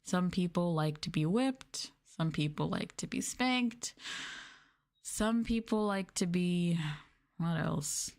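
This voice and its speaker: A woman's voice: on the low side, slow, creaky, darkish tone. A woman livestreaming an ASMR roleplay, talking down to her viewers in a teasing, sultry, condescending way. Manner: teasing, condescending, mock-sadistic dominance.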